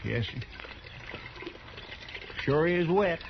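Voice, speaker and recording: A man's voice: fairly high pitch, animated, drawn-out words, vocal fry, exaggerated voice. An American man playing a folksy, deferential, plaintive deputy sidekick in an old radio western. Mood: uneasy, plaintive. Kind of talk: 1950s radio western acting. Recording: muffled, noisy old radio broadcast.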